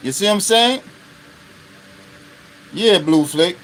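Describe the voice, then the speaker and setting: A man's voice: high-pitched, animated, slow, a bit bright, slight background noise, rough recording. A man in his fifties hosting an unscripted live stream, talking bluntly and profanely and often checking in with his listeners. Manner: blunt and profane, with constant rhetorical check-ins.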